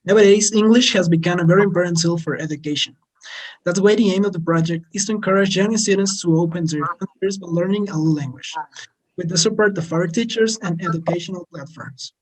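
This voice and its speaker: A man's voice: high-pitched, a little fast, low-quality recording. A male moderator reading a prepared project introduction in a formal, scripted manner over a video call. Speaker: webinar moderator.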